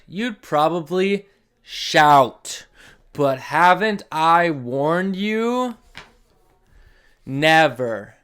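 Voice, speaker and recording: Man, slow storytelling pace, higher-pitched voice, very lively intonation, dramatic emphasis, children's read-along. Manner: dramatic emphasis, drawn-out key words, casual asides to young listeners. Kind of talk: expressive picture-book read-aloud for children.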